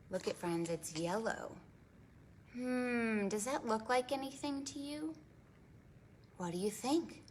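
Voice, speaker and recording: A woman hosting a preschool storytime, reading aloud in an animated, sing-song way with dramatic emphasis and questions for the children. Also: fairly high pitch. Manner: prompts listeners with questions. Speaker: children's librarian and storytime host.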